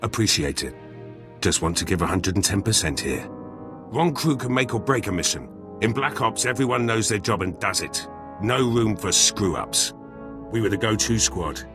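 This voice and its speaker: An actor playing a blunt, battle-hungry special forces soldier in a video game, gruff and husky, speaking in clipped, terse sentences. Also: male voice, on the low side, animated, moderate pace, lightly acted. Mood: proud, businesslike. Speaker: soldier companion character. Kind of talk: video game dialogue acting. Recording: music under the voice.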